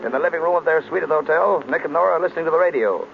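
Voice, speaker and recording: A man's voice: on the high side, racing, gravelly, a little hiss or hum. A man giving scripted scene-setting narration in 1930s radio style. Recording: very tinny old radio, loud music.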